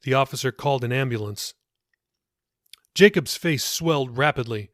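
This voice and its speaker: A man's voice: mid-range voice, normal speed, fairly bright tone, no echo, clean studio sound. A man reading his own novel as scripted audiobook narration.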